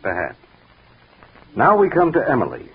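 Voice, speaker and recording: An actor playing a stern, dogged police detective in 1940s radio mystery style, insistent, formal and accusing. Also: male voice, mid-range voice, wildly swooping pitch, moderate pace, breathy edge, heavily acted. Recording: tinny, muffled 1940s radio recording.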